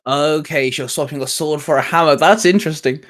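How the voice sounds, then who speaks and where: A man's voice: a little high, brisk, a bit bright. A man co-hosting a casual, geeky discussion in a conversational way. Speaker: co-host.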